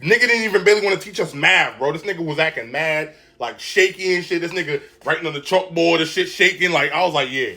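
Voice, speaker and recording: Fast-talking animated New man. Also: fairly high pitch. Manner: animated, slangy, constantly checking in with the listener. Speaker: storyteller. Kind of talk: casual storytime vlog.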